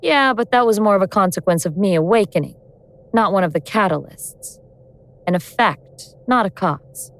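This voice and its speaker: A woman playing an awakened ancient goddess of vengeance in human form, speaking in a wry, deliberate, sardonic way in an audio drama. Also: mid-range voice, lively intonation, close to an everyday voice. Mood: wry, scornful. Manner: sardonic, world-weary, deliberate explaining.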